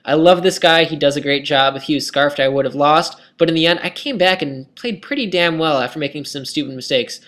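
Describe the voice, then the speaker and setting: A man's voice: fairly high pitch, a little fast, few pauses, a bit bright. A young man commentating his own online game match in a casual, self-critical, rambling way, his voice tired and scratchy. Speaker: gaming commentator.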